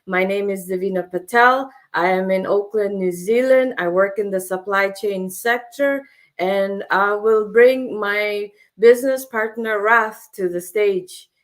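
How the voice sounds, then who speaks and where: A woman's voice: mid-range voice, measured pace. A woman from Fiji hosting an online business chat in a formal, slightly hesitant way. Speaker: host.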